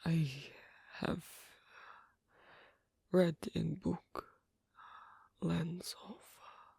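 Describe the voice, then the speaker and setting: Performer as isolated swan king, foreign accent, hesitant, bookish, creaky, very breathy, close dry ASMR story delivery. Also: mid-range voice, level tone, warm tone, no echo, heavily acted. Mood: shy wonder. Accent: foreign-accented English. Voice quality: breathy, half-whispered, creaky. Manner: halting, hesitant, clipped non-native phrasing. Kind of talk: intimate ASMR roleplay storytelling.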